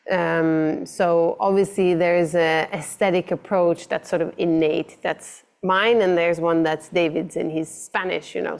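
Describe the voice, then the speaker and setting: Casting: low-voiced woman guest designer, reflective, ums before ideas. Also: gravelly. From grew up in Italy. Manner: thoughtful, slow to start, many fillers. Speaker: interior designer and architect guest. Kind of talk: conversational interview answers.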